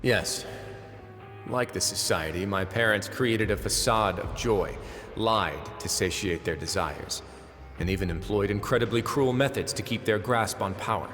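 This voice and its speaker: Low, brooding, composed fortress duke. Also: male voice, fairly bright tone, soft background music. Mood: grave. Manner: measured, deliberate, grave. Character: composed, brooding adult male fortress duke with dark past. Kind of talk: dramatic game dialogue.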